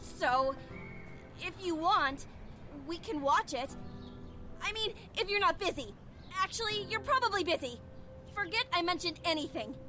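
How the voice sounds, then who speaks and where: A very high, bright young anime girl for a visual novel. She is shy and flustered, quick to bristle, and speaks in a halting, defensive way. Music plays faintly behind her. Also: lively intonation, full character voice.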